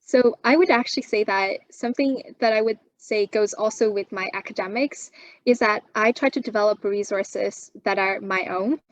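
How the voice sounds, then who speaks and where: A young woman from China, a native Chinese speaker, answering interview questions in a measured, thoughtful way with frequent pauses. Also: on the high side, no room sound, poor audio. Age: young adult. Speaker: guest.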